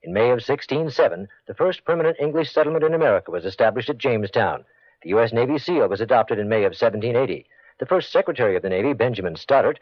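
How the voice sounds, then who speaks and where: Brisk male radio announcer, dry sound. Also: medium pitch, no room sound. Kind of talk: scripted radio public-service spot. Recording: dry, muffled old radio spot.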